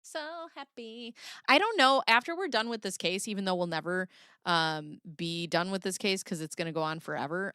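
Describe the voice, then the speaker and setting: A woman's voice: on the low side, fairly bright tone, smooth, dry recording, studio quality. A young woman with a Midwestern accent hosting a casual livestream, reading her chat aloud, laughing often and swearing freely. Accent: Midwestern American. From American Midwest.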